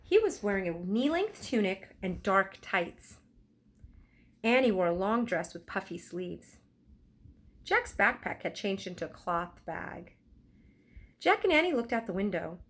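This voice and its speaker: A woman's voice: medium pitch, very animated, measured pace, a bit bright. A woman narrating a children's book aloud expressively, enunciating for young listeners. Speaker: storybook reader.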